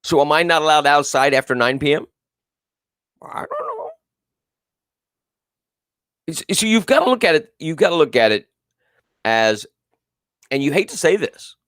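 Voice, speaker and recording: A man's voice: fairly high pitch, fairly bright tone, no room sound, studio quality. A male talk show host with a big, carrying voice, giving emphatic, repetitive political commentary and reading viewers' comments aloud. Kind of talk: live political call-in commentary.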